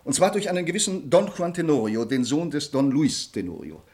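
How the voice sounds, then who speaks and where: A man's voice: on the high side, expressive, fairly quick, a little mellow, natural voice. Man acting an earnest nobleman with sweeping delivery. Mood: grave, troubled. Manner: formal, eloquent, earnest. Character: honor-bound young nobleman, grateful, earnest, passionately restrained. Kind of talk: German radio play acting of classic drama.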